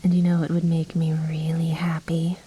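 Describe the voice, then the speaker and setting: A woman playing a commanding, possessive vampire noblewoman in an intimate ASMR roleplay, speaking in breathy whispers with a haughty, coaxing, softly menacing manner. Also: deep voice, monotone, moderate pace, slight background noise, lightly acted.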